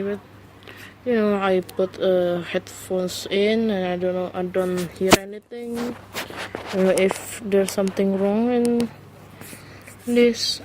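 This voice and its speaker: A woman's voice: mid-range voice, warm tone, a little hiss or hum, poor audio. A woman whose first language is not English, hosting her first solo podcast, talking hesitantly with many fillers and restarts, recorded alone on a phone. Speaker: podcast host. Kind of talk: casual solo podcast monologue. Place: alone at home.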